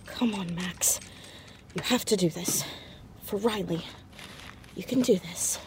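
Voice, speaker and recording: A woman's voice: lowish voice, takes it slowly, background noise. A woman playing an anxious, determined teenage girl sleuth in an audio drama, speaking hesitantly but insistently. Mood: nervous resolve. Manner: hesitant, stammering yet insistent. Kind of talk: naturalistic audio drama acting.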